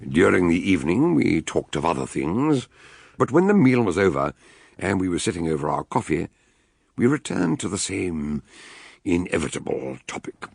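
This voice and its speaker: Casting: eccentric British sleuth doctor, theatrical radio-drama delivery, gravelly, swooping intonation. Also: male voice, low-pitched, sing-song, normal speed, a little mellow, heavily acted. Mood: measured narrating. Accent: British English. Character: eccentric, brilliant male detective doctor, genial and excitable. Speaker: actor playing the detective and narrator.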